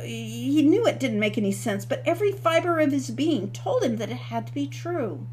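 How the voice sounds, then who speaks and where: A woman author reading her novel aloud as the narrator, in a steady storytelling manner. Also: high-pitched, moderate pace, slight background noise, low-quality recording. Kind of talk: scripted audiobook narration.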